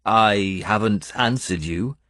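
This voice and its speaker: A man's voice: fairly low pitch, slow pace, no echo, studio quality. A man voicing a harsh, brusque warrior lord who is protective but impatient, in an audiobook. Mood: firm. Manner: forceful, brusque.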